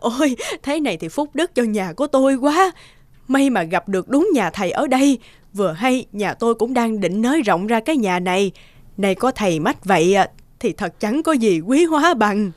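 A woman's voice: fairly high pitch, lively intonation, moderate pace, no echo, studio quality, natural voice. A woman voicing a kindly, trusting village housewife in a cartoon folk tale, polite and solicitous. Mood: grateful. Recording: music behind the voice.

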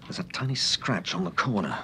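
An actor playing a shrewd British novelist-detective in a radio drama, calm and clipped. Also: male voice, sits low, brisk, a little hiss or hum, full character voice. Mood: calm, intent. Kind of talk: mid-century British radio drama acting.

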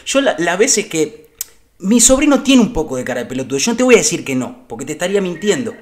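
A man's voice: a little high, big pitch swings. Young man acting aggrieved, solemn Argentine uncle. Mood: apologetic, aggrieved. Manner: solemn, halting, over-formal. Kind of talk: improvised prank phone call.

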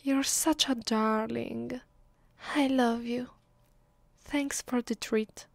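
A woman acts out an affectionate vampire girlfriend for an ASMR roleplay, with an Italian accent. Her voice is slightly high and soft, and she takes her time over each phrase, intimate and hesitant, with a playful, clingy streak. The sound is very dry, with no room to it. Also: fairly even intonation, takes it slowly, gravelly, no echo. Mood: loving, content. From Italy. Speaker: voice actress.

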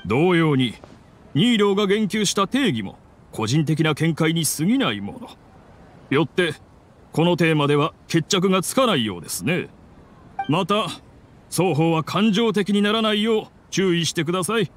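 Actor as impartial male scholar-moderator, anime game; precise, formal, high register with lively intonation. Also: normal speed, a little hiss or hum, lightly acted. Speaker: debate judge.

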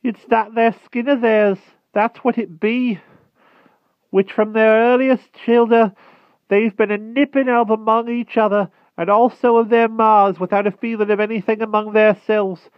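A squeaky trembling old hedgehog. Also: male voice, level tone, measured pace, no echo. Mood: fretful. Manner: thick rustic country dialect, quavering and pleading. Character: timid old rustic male hedgehog, humble and trembling. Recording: tinny phone-like dry sound.

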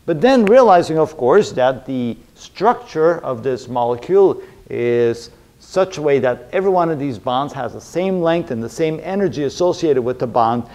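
A man's voice: on the high side, expressive, moderate pace, dark tone. Middle-aged man giving a step-by-step lecture. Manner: explanatory, step by step, repeats phrases. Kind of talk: chalk-talk chemistry lecture at a whiteboard. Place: indoor room with a whiteboard.

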